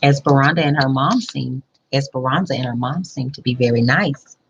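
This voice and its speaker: A woman reading a children's picture book aloud as a school principal, pausing to explain and put questions to young listeners. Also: rumbling low, vocal fry, lo-fi sound, a little hiss or hum.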